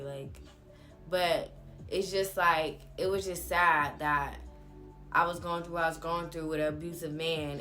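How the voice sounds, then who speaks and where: A young woman with a slightly low-pitched voice talks in a casual, confessional vlog style. Her delivery is candid, blunt and slangy, as if telling a story straight to her followers. Music plays behind her voice. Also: fairly bright tone. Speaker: vlogger telling a personal story.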